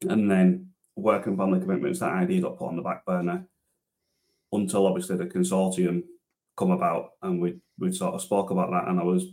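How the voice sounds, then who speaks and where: A man's voice: sits low, little pitch movement, a little fast, darkish tone. A man, a transport manager chatting casually as a podcast guest, rambling with many restarts. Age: 30s. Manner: rambling, many restarts and fillers, self-deprecating. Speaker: interview guest, transport manager and driver trainer.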